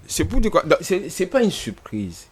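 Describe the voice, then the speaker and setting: A man speaking as a panelist in a televised debate, measured, repeating words as he builds his point. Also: a little high, huge pitch swings, slightly rough.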